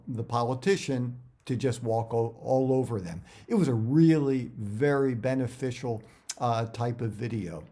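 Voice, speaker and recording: A middle-aged man giving career advice in a casual, conversational way, halting and often repeating words as he talks directly to viewers. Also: mid-range voice, dark tone.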